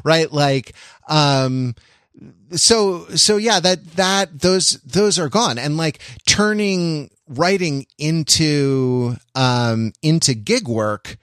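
An American man co-hosting a podcast, talking casually in an opinionated, halting, rambling way. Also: on the high side, a bit bright, clean tone, no room sound, studio quality. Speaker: podcast co-host. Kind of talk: casual discussion.

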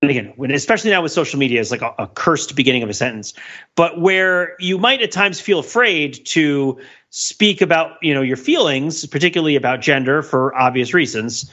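A man with a slightly high voice talks casually as a podcast host. He rambles, doubles back and thinks out loud mid-sentence. The recording is a little dull and lo-fi. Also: lively intonation, a little fast. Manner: rambling, self-interrupting, thinking aloud. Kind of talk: casual conversational discussion.

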